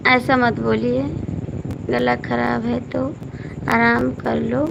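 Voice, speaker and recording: Hoarse woman doing a live stream, slow and kinda flat, raspy gravelly voice, keeps begging people to comment. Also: a little high, background noise. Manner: chatty, repeatedly coaxing viewers by name to comment. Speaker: folk singer hosting a live stream. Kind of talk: casual live-stream chat reading out viewer comments.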